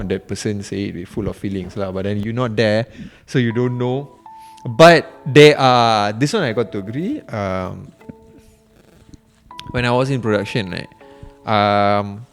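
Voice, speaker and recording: A man with a Malaysian English accent, whose first language is Tamil, talking casually on a podcast with many pauses and restarts. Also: lowish voice. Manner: halting, many fillers and restarts. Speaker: podcast co-host. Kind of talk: casual chat.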